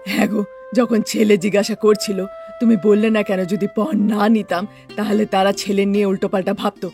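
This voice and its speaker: A woman's voice: mid-range voice, little pitch movement, moderate pace, darkish tone, soft background music, pitched below the performer's own voice, close to an everyday voice. A woman voicing a bossy, curt middle-aged mother-in-law in a cartoon family story. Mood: nagging.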